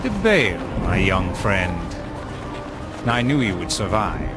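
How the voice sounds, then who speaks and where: Slow, stately crusade lord. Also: male voice, low voice, animated. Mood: paternal satisfaction. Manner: stately, authoritative. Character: solemn, pious crusade lord addressing his warriors. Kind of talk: dramatic video game cutscene acting. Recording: dramatic music behind the voice.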